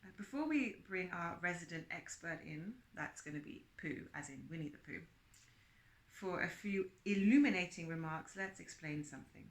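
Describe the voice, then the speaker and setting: A woman reading a book aloud in an expressive, emphatic way, presenting it with chatty asides. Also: fairly low pitch, normal speed. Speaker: reader and presenter of book extracts. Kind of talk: read-aloud with chatty asides and character quotes. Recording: roomy, echoing sound.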